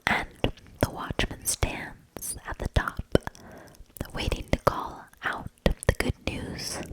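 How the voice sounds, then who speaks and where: A woman voicing a watchful young fairy-tale lady from a storybook, in a breathy whisper. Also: takes it slowly, close to an everyday voice, low-quality recording. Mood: watchful, earnest.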